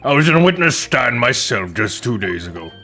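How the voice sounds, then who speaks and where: A man's voice: medium pitch, big pitch swings, brisk, vocal fry, pushed and bright, soft background music, exaggerated voice. A man voicing a resentful, cocky banker on the witness stand in a courtroom game, with a blunt, pointed manner.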